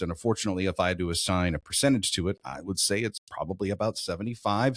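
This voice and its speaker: The host of a hobby podcast talking casually into a close mic: an American man in his forties, low voice, no room sound. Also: dry recording. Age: late 40s. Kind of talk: casual conversational interview.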